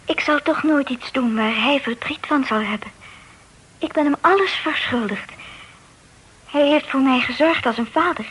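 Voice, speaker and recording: An actress playing a dutiful young woman torn by love in a period radio drama, speaking formally in a hesitant, hushed, breathy way. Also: high voice, moderate pace. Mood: dutiful, sad. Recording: noisy old radio drama recording.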